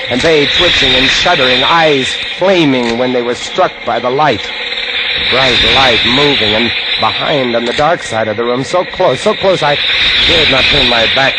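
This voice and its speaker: An actor in a 1950s radio drama playing a haunted lighthouse keeper who recounts horror with intense, morbid fascination, swinging from hushed to urgent. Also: male voice, mid-range voice, heavy background noise, natural voice. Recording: vintage radio recording with loud music.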